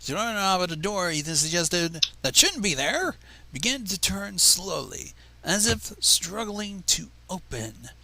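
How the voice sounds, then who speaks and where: Creaky, animated man reading fanfiction. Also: sits high, measured pace, rough recording. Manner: sarcastic, profane. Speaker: fanfiction reader and livestream host. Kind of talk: dramatic reading with mocking asides.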